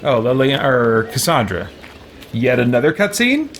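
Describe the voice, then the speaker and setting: A man doing casual let's play commentary as a streamer, musing and thinking out loud. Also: medium pitch, big pitch swings, normal speed, a little hiss or hum. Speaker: gaming streamer.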